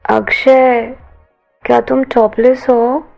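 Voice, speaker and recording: A woman's voice: a little high, level tone, slow, gravelly, dull audio, soft background music. Woman acting a drowsy, mumbling young woman. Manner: mumbling, half-asleep, complaining.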